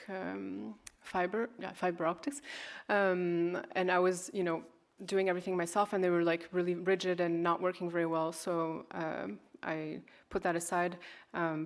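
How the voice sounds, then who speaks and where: A woman in her thirties gives a stage talk about her own work as a designer. Her voice sits low and stays fairly level, and she speaks in an unhurried, searching way, full of pauses, ums and restarted phrases. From grew up in California. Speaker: artist and fashion designer presenting her work.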